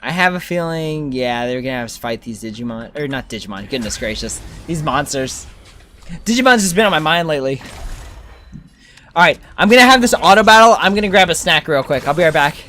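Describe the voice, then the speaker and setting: A man's voice: fairly high pitch, lively intonation, a little fast, sharp tone. A young man giving casual livestream game commentary, thinking aloud as he plays and chatting with viewers. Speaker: game streamer.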